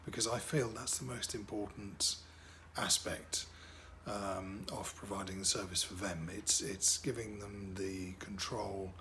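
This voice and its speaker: A man's voice: sits low, bright, forceful tone, slight background noise. A middle-aged man, a wedding DJ and MC whose first language is French, talking casually about his work with lots of fillers and repeated words.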